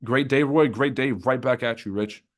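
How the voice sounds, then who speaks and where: Young male streamer, casual joking host, swears freely, mid pitch. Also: normal speed. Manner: loose, joking, swears freely, talks to chat. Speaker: trading livestream host. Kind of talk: casual livestream chat.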